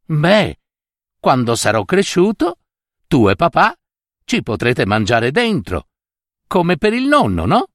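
Man acting a little boy, fairy-tale grandson, innocent, guileless, raised pitch, unhurried. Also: wildly swooping pitch, fairly bright tone, dry recording, clean studio sound, exaggerated voice. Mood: innocent, earnest.

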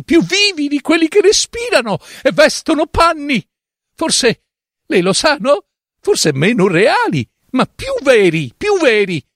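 A man's voice: very high voice, fairly bright tone, no room sound, studio quality, voice pushed up, exaggerated voice. A man voicing a desperate male character, a doctor, who pleads and then protests indignantly in a dramatic story reading. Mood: insistent.

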